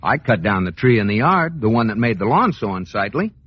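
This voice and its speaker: An actor playing a jealous, insecure and boastful banker husband in an old radio comedy, hesitant and blustering. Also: male voice, on the low side, sing-song, fairly quick, slightly rough. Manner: hesitant, blustering, exaggerated reactions. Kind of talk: 1940s-50s radio comedy acting. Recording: muffled, hissy vintage radio recording.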